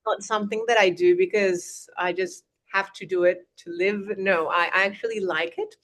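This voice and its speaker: A woman's voice: mid-range voice, fairly even intonation, normal speed, a bit bright, slight background noise. Chatty young Colombian-born woman. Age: young adult. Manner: chatty, lots of restarts and "eh" fillers. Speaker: English teacher, interviewee. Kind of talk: casual interview conversation.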